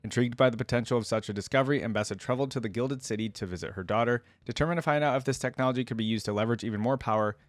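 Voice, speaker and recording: A man's voice: medium pitch, very fast, few pauses, no room sound. A man hosting a reaction video, giving casual commentary and reading text aloud in a conversational way. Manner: conversational asides, thinking out loud.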